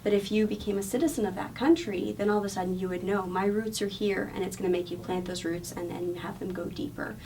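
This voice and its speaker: A woman's voice: mid-range voice, fairly even intonation, quick pace, creaky, few pauses, noisy background. Earnest woman guest, distant mic. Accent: non-local accent. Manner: earnest, explanatory, repeats words while thinking. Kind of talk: radio interview answers.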